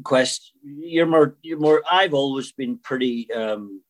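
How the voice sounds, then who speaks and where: A man's voice: medium pitch, slow pace. A man aged about sixty-one with a Northern Irish accent, talking candidly and bluntly as an interview guest, with the odd mild swear. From Northern Ireland. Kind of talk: candid personal conversation.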